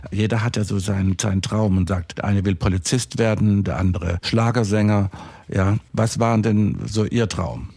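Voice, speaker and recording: A man's voice: lowish voice, a little mellow, breathy edge, dry recording. A man hosting a podcast interview, asking rambling questions that he often restarts mid-sentence. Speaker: podcast host.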